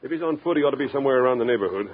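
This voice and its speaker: An actor playing an offhand, businesslike police sergeant in a 1950s radio drama. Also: male voice, medium pitch, expressive, very fast, phone-quality sound, natural voice. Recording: thin, noisy old radio recording.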